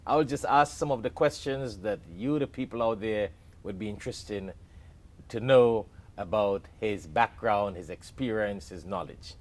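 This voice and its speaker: A man's voice: lowish voice, unhurried. A man hosting an interview show in a studio, greeting his guest and asking questions in a chatty, enthusiastic way. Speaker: talk show host. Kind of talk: hosted interview. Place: television studio.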